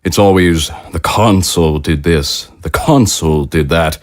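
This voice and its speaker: An actor playing a gracious, self-important head of state in an audio drama, with formal, courtly speech. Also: male voice, sits low, takes it slowly, darkish tone. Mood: affable.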